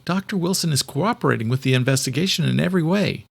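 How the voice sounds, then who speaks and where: A man voicing an earnest, quick-thinking adult woman in an audiobook, explaining things insistently. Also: fairly high pitch, animated, vocal fry, breathy edge, voice pushed up, full character voice. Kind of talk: audiobook character dialogue.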